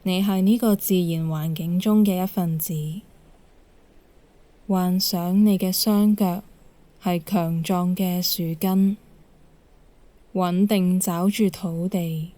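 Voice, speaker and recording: A woman meditation guide speaks in a slightly low, soft-edged mellow voice. Her delivery is calm, measured and very slow, with gentle instructions set apart by pauses. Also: no room sound.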